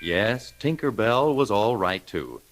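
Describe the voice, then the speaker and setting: A man narrating a children's storybook from a script, with dramatic, measured delivery. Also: lowish voice, animated, heavy background noise. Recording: loud music under the voice.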